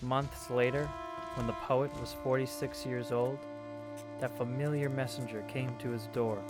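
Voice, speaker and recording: A man narrating a historical tale as a storyteller, with theatrical, measured delivery. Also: mid-range voice, little pitch movement. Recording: music under the voice. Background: musical instruments playing.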